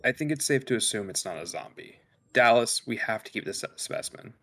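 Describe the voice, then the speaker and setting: A man playing a calm, detached spaceship science officer with a measured, clinical delivery in a sci-fi script table read. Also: lowish voice. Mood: clinical, intent.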